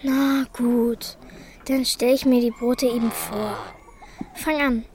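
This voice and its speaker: High-voiced, cheeky orphan girl. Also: fairly even intonation, slow pace, a little mellow, a little hiss or hum. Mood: stubborn, hungry. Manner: blunt, pert, defiant. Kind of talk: children's radio drama acting.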